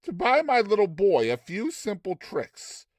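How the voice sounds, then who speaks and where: Man playing a fond father, genial, indulgent, lively pitch, dry storybook dialogue. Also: unhurried, no echo, exaggerated voice. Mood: genial interest. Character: fond, good-natured father with his small son.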